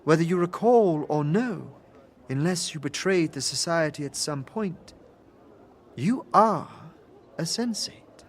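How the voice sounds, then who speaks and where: A man acts out an ancient otherworldly woman from a fantasy drama, wistful and formal. The voice sits fairly high and moves very slowly, halting with guarded dignity, over audible background noise. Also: lively intonation. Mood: solemn, confiding. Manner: stately, halting, formal, interjecting own name. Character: long-lived mysterious female being, formal, wistful, guarded. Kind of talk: fantasy game audio drama acting.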